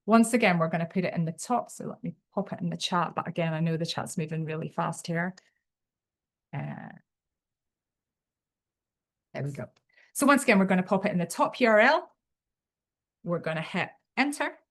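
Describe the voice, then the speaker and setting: A woman's voice: lowish voice, expressive, normal speed, long pauses, a bit bright. A woman with a Belfast accent giving a live online tutorial, talking in an enthusiastic, chatty and unpolished way over a computer microphone. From Northern Ireland. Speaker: webinar presenter.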